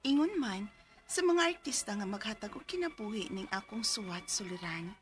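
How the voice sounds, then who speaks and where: A woman's voice: mid-range voice, lively intonation, normal speed. A young woman in her twenties with a Cebuano accent, narrating and acting her own story in a radio drama, earnest and easily alarmed. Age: 20s. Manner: earnest, then breathless and panicky in scenes.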